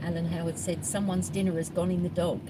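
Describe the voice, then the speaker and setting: A woman's voice: fairly low pitch, little pitch movement, fast talker. A woman co-hosting a live drive stream, chatty and reading out viewer comments with many ums and uhs. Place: in a moving car. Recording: loud road noise in car.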